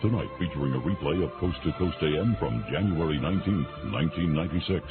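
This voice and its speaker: A man's voice: a bass voice, expressive, fast talker, narrow, tinny audio. A man reading a scripted radio program announcement. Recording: band-limited radio with music behind.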